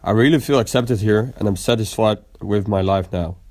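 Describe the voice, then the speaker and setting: A man playing a young war refugee, recounting his flight in an earnest, measured scripted monologue. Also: fairly low pitch, darkish tone, dry recording, studio quality, close to an everyday voice. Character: young male war refugee, weary but hopeful.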